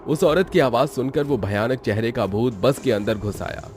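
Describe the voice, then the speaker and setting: A man's voice: medium pitch, moderate pace, noisy background. A man narrating a scripted horror story for a cartoon, as the storyteller. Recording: music bed under the voice.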